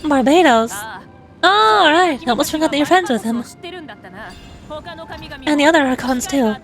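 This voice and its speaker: A woman's voice: high voice, expressive, normal speed. A woman voicing a bold, confident female warrior leader from a video game, speaking in an assured, rallying and playfully teasing manner. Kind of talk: dramatic voice-over of video game dialogue. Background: music.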